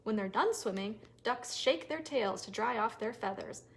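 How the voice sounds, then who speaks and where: High-voiced woman reading to toddlers, background noise. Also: animated, normal speed. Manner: sing-song, asks listeners questions. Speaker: children's librarian leading story time. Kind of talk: animated read-aloud for toddlers.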